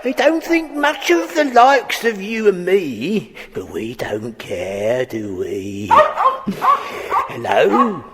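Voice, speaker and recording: An actor playing a rough, wary old man who mutters and chats to his little dog in an audiobook drama. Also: high-pitched, sing-song, full character voice. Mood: muttering, fond. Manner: slow, drawling, chatty to his dog. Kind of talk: audiobook radio-play acting. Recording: echoing hall, noisy hiss.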